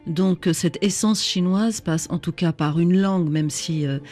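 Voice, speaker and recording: A radio host interviews a guest in a studio, putting gentle, probing questions to them. The voice sits at a middling pitch, with hesitant fillers between its questions. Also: measured pace.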